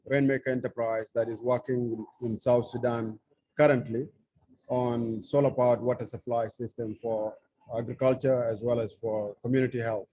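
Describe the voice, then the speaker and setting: A man speaks at a middle pitch over a video call. His introduction is plain and steady, and the sound is dry and close. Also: normal speed, no echo, rough recording. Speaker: social enterprise founder. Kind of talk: brief self-introduction.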